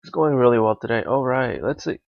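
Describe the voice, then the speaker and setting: A young man with a raspy, gravelly voice that is a little hoarse from being sick. He thinks out loud through a competitive match with plenty of ums and uhs. The sound is dull and lo-fi, with almost no room around it. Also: mid-range voice, expressive, fairly quick, dry recording. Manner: thinking aloud, with frequent fillers. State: slightly hoarse from illness. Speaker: gaming commentator. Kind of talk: live competitive game commentary.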